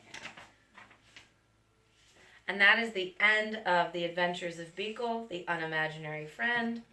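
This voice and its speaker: A woman's voice: on the low side, a little slow. Woman reading a storybook, echoey and distant. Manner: gentle, adds friendly remarks to young listeners. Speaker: school staff reading to students. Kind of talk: reading a picture book aloud with chatty asides. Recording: echoey room, far from mic, faint noise.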